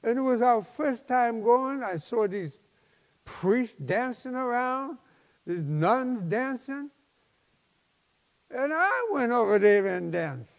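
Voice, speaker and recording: A man in his seventies, a pastor preaching a personal testimony in an emphatic, halting, folksy way. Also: very high voice, expressive, gravelly. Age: 70s. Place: large church sanctuary, at a lectern. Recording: long hall reverb.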